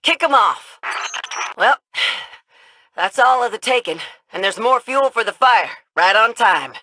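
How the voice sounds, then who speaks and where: A woman's voice: on the high side, expressive, a little slow, sharp tone, no echo, full character voice. An actress playing a folksy, upbeat woman who guides fighters in a video game. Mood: urgent, cocky. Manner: folksy, informal drawl. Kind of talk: video game mission voice lines.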